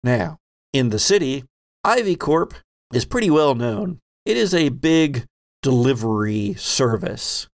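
A man narrating a tabletop game podcast as host and game master, with dramatic storytelling phrasing and deliberate pauses. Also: mid-range voice, big pitch swings.

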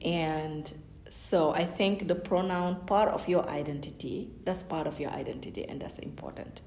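Woman, low pitch, reflective, roomy echo. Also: normal speed, darkish tone. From Senegal. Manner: thoughtful, restarts sentences, lots of "you know". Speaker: academic guest. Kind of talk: interview answers. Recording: echoey room.

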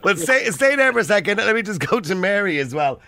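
A man's voice: high voice, sing-song, sharp tone, dry recording. A man with a Dublin accent hosting a call-in radio show, teasing and laughing with callers. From Dublin, Ireland. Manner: teasing, laughing, quick interjections. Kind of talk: lively call-in radio banter.

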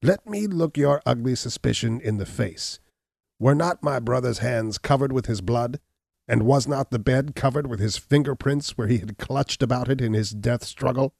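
A man voicing a hunchbacked murderer, a cornered, defiant villain who blusters and shouts in a raised, strained voice. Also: mid-range voice, normal speed, vocal fry, no room sound, clean studio sound, pitched above the performer's own voice. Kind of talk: audiobook reading.

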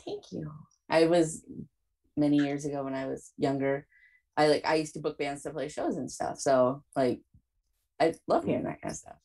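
A woman's voice: low voice, moderate pace, warm tone. A woman hosting a podcast in casual chat, enthusiastic and full of "like". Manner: enthusiastic, peppers talk with "like". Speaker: podcast host.

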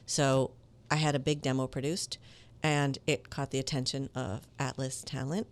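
A woman voice actor with a low, smooth voice that carries some authority, telling stories from her career in an interview and acting out the dialogue. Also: normal speed. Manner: animated, tells stories with quoted dialogue. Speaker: voice actor guest. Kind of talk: conversational interview storytelling.

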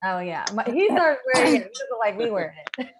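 A woman's voice: fairly high pitch, sing-song, fast talker, a crowd in the background. A woman chatting casually in a flippant, joking way. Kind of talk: casual chat.

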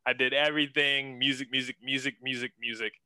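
Young male guest, bright and crisp, casual slangy chatter, enthusiastic. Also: medium pitch, flat delivery, brisk, no room sound. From western Pennsylvania. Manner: laid-back, slangy, enthusiastic, says man a lot. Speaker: guest, senior art director. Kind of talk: casual interview chat.

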